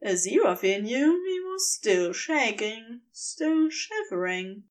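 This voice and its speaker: A woman narrating a scripted story in a measured, expressive storytelling manner. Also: on the high side.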